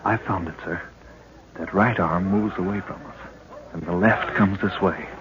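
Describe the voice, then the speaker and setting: A man playing a brisk young adventurer. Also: low voice, steady tone, lightly acted. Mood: alert. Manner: brisk and respectful. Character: loyal young male adventurer, dutiful aide. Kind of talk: 1930s radio drama acting. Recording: dull old radio recording with clear hiss.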